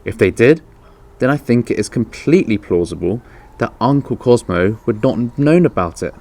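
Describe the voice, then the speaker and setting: A man reading the part of a troubled, reasoning uncle in a children's storybook, deliberate and emphatic. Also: medium pitch, expressive, darkish tone, pitched above the performer's own voice, close to an everyday voice. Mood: concerned.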